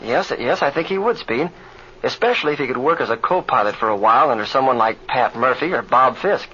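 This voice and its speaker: A man's voice: mid-range voice, expressive, brisk, sharp tone. An American actor playing an eager, encouraging young male pilot in an old radio serial, with earnest, folksy delivery. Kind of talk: 1930s radio serial acting. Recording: muffled, noisy old radio recording.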